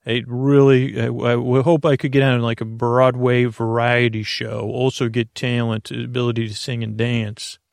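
Male sleep podcast host: gravelly creak, close dry sound, soothing and wandering monologue. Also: mid-range voice, flat delivery, dry recording. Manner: meandering, halting, soothing, full of tangents. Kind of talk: slow rambling bedtime monologue.

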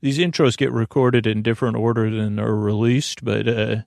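A man hosting a bedtime podcast in a halting, meandering, earnest and reassuring ramble, with a gravelly voice, close on the mic. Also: lowish voice, steady tone, darkish tone, no echo. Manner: halting, meandering, trailing off mid-sentence. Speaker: podcast host.

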